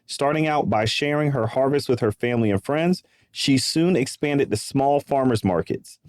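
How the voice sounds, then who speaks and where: A man's voice: mid-range voice, normal speed, no room sound, clean studio sound. A man hosting a podcast interview, upbeat and chatty, with loose casual phrasing. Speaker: podcast host.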